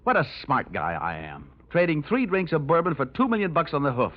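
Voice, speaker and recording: An actor playing a wry, glib, tough private investigator who flirts and wisecracks, in the style of 1950s radio detective drama. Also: male voice, on the high side, huge pitch swings, fairly quick. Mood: self-mocking. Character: wisecracking, flirtatious male hard-boiled investigator narrating his case. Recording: muffled vintage radio recording with music.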